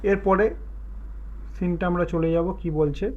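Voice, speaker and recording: A man's voice: sits high, fairly even intonation, a little slow, a little mellow, muffled recording. A man instructing in a casual step-by-step tutorial, explaining as he works in short, piecemeal phrases. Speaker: cartoon animation tutorial instructor.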